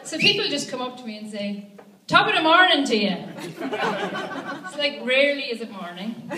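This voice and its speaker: A woman's voice: high-pitched, moderate pace, very noisy. A young woman with an Irish accent doing a stand-up comedy set on a club stage, telling dry stories with punchlines into a handheld mic. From Ireland. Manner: wry, deadpan storytelling with punchlines. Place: comedy club stage before a live audience. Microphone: handheld mic, filmed from the audience. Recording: echoey club, from far off, with loud crowd laughter.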